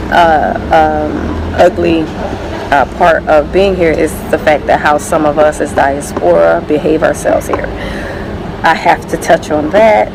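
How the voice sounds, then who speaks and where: A low-pitched American woman talks earnestly in a casual vlog, stopping and restarting often. Street sounds, traffic and an air conditioner hum clearly behind her. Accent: American English. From United States. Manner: earnest, frequent fillers and restarts.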